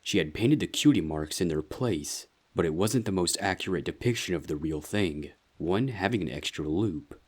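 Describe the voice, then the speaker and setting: Narrator for a dark fan fiction tale: man, very low voice, husky edge, scripted dramatic reading. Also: animated, moderate pace, few pauses.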